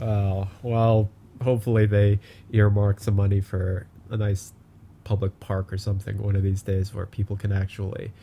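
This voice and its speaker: A man's voice: on the low side, flat delivery, moderate pace, creaky, lo-fi sound, slight background noise. A man co-hosting a casual podcast, stammering and repeating words as he talks. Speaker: podcast co-host. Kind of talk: casual political chat.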